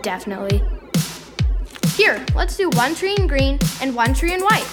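A woman's voice: high voice, big pitch swings, normal speed, loud music behind the voice. A woman playing an earnest, inquisitive young boy, a son, in an audio drama, with a youthful voice. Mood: curious, tentative.

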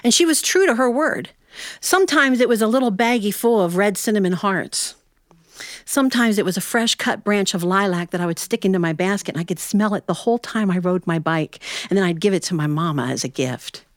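An American woman telling a humorous personal tale as a storyteller, in a folksy, animated manner with dramatic pauses. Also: mid-range voice, dry recording, clean studio sound. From Ohio, United States.

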